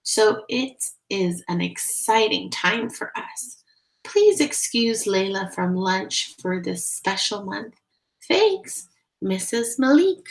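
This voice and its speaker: A woman voicing a gentle, cheerful mother in a children's storybook, with a caring manner. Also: medium pitch, expressive, takes it slowly, creaky, far from the mic, poor audio. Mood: polite.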